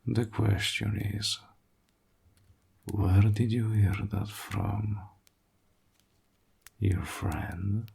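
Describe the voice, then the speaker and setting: Man acting a low, breathy, slow-talking suave warlock. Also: full character voice. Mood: amused, smooth. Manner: soft-spoken, breathy, slow and deliberate, flattering. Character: suave, courteous male warlock, hospitable, flirtatious, slyly menacing. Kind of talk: intimate audio roleplay acting.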